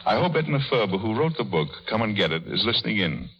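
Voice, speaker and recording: Deep-voiced man on vintage radio. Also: sing-song, fast. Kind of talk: 1930s radio curtain speech. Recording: muffled 1930s radio recording.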